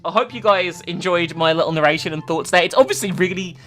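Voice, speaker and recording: A woman's voice: lowish voice, fast, a bit bright. A woman narrating and commenting in a dramatic but casual story-reading style. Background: faint music.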